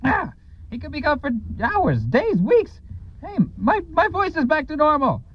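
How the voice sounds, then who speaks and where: A scatterbrained bandmate whose high voice swoops wildly up and down. The recording is muffled with a little hiss. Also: male voice, wildly swooping pitch, unhurried, heavily acted. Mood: goofy. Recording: muffled faintly noisy radio recording.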